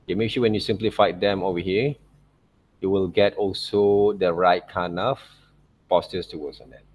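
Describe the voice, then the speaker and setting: A man narrating an informal art software tutorial as a teacher, in loosely phrased non-native English, often checking in with short tag words. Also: low-pitched, fairly even intonation, moderate pace. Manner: repeats check words like okay and right.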